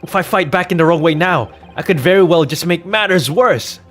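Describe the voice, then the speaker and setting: A man's voice: on the high side, a little fast, a bit bright, faint music behind, voice pushed up, close to an everyday voice. A man voicing an earnest, polite young woman legal assistant in a courtroom game, with a prim and anxious manner. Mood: worried.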